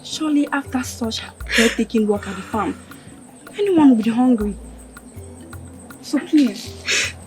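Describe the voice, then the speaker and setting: A woman's voice: a little high, a little slow, pauses often, lightly acted. An actress with a Nigerian accent playing a kind, protective young village woman, coaxing and pleading in a film melodrama. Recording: film dialogue with music behind.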